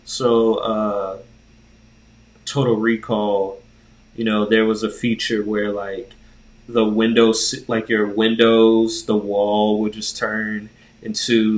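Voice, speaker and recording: Male podcast co-host, lowish voice, loose slangy talk with hesitant phrasing, echoey room sound. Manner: rambling, hesitant, halting delivery with slang. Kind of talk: casual conversation.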